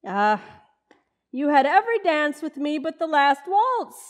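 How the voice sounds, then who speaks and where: A woman plays a teasing, long-suffering wife in an old-time radio comedy. The voice is high and takes its time, with a wry, gently scolding manner. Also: sing-song, measured pace. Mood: fond, nostalgic.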